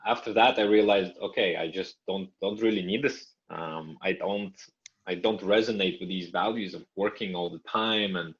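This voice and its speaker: A man's voice: low-pitched, moderate pace. A young man whose first language is Russian, who grew up in Canada, talking as a podcast guest in a thoughtful way, trailing off and starting over. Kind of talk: conversational interview. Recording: muffled lo-fi audio.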